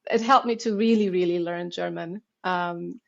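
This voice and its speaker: A woman's voice: on the low side, steady tone, moderate pace, vocal fry, muffled recording. A woman from Iran, a Persian speaker, talking animatedly as a guest on a podcast and often laughing at herself. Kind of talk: podcast interview.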